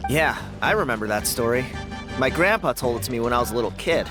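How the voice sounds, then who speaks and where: A man's voice: medium pitch, animated, quick pace, lightly acted. Teasing man as a friendly young guy. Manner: casual, teasing. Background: game music.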